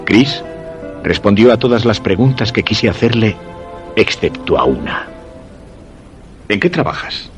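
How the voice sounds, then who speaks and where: A curious, insistent husband in a Spanish radio drama, with a low Castilian voice. He recounts his romance in measured, literary phrases with expressive swings, over music. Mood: curious, probing. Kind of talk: Spanish radio play narration and dialogue.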